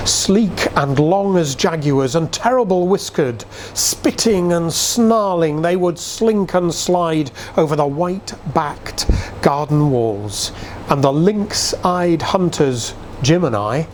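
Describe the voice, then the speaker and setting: A man reading a literary story aloud in a dramatic, measured storytelling manner. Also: a little high, slight background noise. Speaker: reader. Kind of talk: expressive literary reading of a nostalgic Christmas story.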